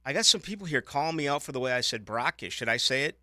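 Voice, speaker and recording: A man's voice: mid-range voice, lively intonation, fast talker, sharp tone, dry recording, studio quality. A man with a Boston accent hosting a casual radio talk show, rambling and joking. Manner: rambling, self-correcting, jokey. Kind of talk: casual sports radio chat. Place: radio studio. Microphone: studio microphone.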